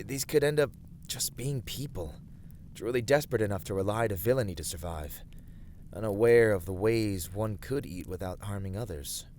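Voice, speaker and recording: A man's voice: lowish voice, a little mellow, a little hiss or hum, natural voice. A man playing an earnest, courteous fantasy adventurer in an ASMR roleplay, speaking in formal, thoughtful, slightly hesitant phrases.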